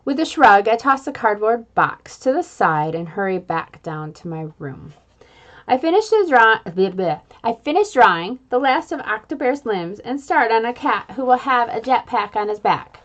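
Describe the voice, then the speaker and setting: Casting: a higher-voiced woman telling a kids' story with playful energy, on a muffled, lo-fi recording. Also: normal speed. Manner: expressive, playful storytelling with sound effects. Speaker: reader. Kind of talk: children's book read-aloud.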